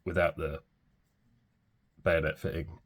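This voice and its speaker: A man reviewing a fountain pen in a relaxed, hesitant way with many fillers, sounding stuffy from a cold. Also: extremely low pitch, slow pace, husky. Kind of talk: casual product review.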